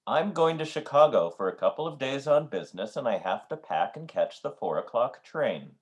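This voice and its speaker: A man's voice: medium pitch, moderate pace, natural voice. A man playing an affable but exasperated banker husband in an old-time radio comedy. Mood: matter-of-fact. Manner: animated, exasperated.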